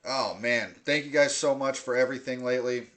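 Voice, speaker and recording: A young man hosting a homemade podcast chats loosely with his co-host. He rambles through shout-outs with plenty of "um"s and breaks into easy laughter. His voice sits at an ordinary middle pitch. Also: a little fast. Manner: rambling, laughs often, many fillers. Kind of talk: casual podcast chat.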